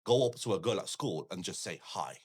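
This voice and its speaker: Deep voiced midlands guy, punjabi first language, chatting on a podcast and telling stories about himself. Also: lively intonation, fairly quick, husky. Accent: English Midlands. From Coventry, England. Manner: talkative, anecdotal, often quoting imagined dialogue. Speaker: podcast guest, forex trader and educator. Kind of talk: conversational podcast interview, telling personal stories.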